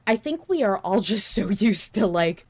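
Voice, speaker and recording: A woman's voice: medium pitch, lively intonation, normal speed, a little mellow. A woman hosting a podcast in casual discussion, thinking aloud and hedging her opinions as she goes. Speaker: podcast host.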